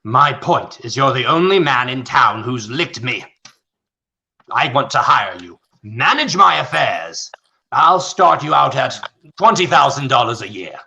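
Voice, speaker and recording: A man acting as a rich, condescending, scheming old tycoon in a radio play, with a gravelly, theatrical delivery. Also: medium pitch, sing-song, measured pace, bright, forceful tone, highs cut off, heavily acted. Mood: coaxing.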